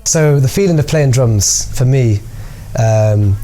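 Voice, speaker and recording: A man's voice: mid-range voice, takes it slowly. A young man from Birmingham, a drummer talking about his music in an easygoing interview, with frequent fillers. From Birmingham, England. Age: young adult. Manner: relaxed, rambling with fillers and restarts. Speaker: percussionist. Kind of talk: interview answers.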